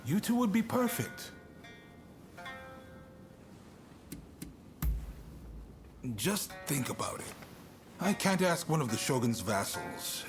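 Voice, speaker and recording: An actor playing a shrewd Shogunate official in a video game, speaking in a casual, confiding way. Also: male voice, medium pitch, close to an everyday voice. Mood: persuasive. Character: shrewd Shogunate official recruiting trusted agents. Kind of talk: video game cutscene acting.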